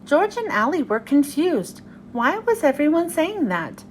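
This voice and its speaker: High-pitched, animated woman reading a storybook. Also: unhurried, slight background noise. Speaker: host reading children's books aloud. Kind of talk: storybook read-aloud for children.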